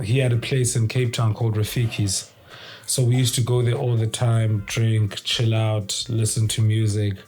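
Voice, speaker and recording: A man from South Africa, a music producer, answering interview questions in a laid-back, unhurried way. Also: medium pitch, dark tone, a little hiss or hum. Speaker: guest, producer and rapper.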